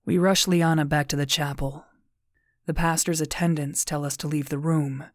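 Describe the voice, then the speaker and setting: A woman narrating a fan audio drama in the first person, quiet, measured and brooding. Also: low-pitched, fairly even intonation, dry recording, clean studio sound. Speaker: narrator and lead character.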